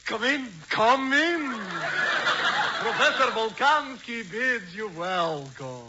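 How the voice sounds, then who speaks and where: An actor playing a wily, fawning professor of genealogy with a theatrical, oily manner in a 1940s radio comedy. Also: male voice, extremely high pitch, animated, slow, full character voice. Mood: grandly welcoming. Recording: noisy, muffled 1940s radio recording.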